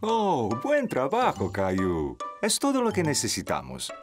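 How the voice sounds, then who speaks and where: A patient, encouraging cartoon dad, with hugely animated pitch swings in his male voice. Music plays clearly behind him. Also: unhurried. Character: patient, encouraging young father. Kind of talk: children's cartoon dub.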